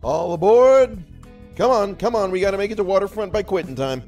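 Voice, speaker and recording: A man playing a brash, impatient cable car conductor in a radio drama, calling out loudly. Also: high voice, expressive, brisk, faint music behind. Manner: loud, calling out.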